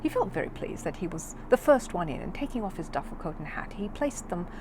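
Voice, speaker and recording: Animated, lower-pitched woman reading a children's story. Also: fairly quick, noisy background. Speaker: storybook narrator.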